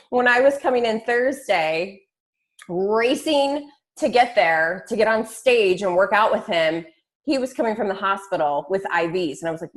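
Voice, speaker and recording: A woman with a mid-pitched voice chats casually as she hosts a team call. She talks like a fitness coach among friends, informal and slangy. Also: fairly bright tone. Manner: chatty, informal, peppered with slang and swearing. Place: online group call.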